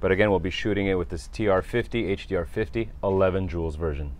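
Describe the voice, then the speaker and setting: A man's voice: sits low, fast talker. A man, hosting a casual airgun review outdoors with theatrical announcing. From Italy. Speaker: airgun channel host. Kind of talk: casual product review talk. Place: outdoor backyard shooting range.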